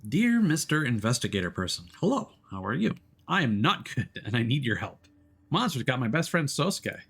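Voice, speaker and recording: A man's voice: mid-range voice, sing-song, moderate pace, a bit bright, breathy edge, exaggerated voice. A man voicing an anxious, pleading young boy.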